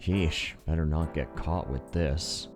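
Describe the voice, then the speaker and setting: Casting: male narrator for a visual novel, extremely low voice, introspective and wry, with music clearly underneath. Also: steady tone, slow pace. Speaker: narrator and voice actor. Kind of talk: scripted first-person visual novel narration.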